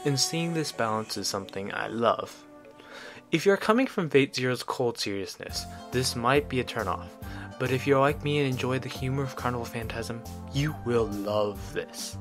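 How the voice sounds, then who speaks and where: Male reviewer, mid-range voice, scripted narration for a video review, light music bed underneath. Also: medium pitch.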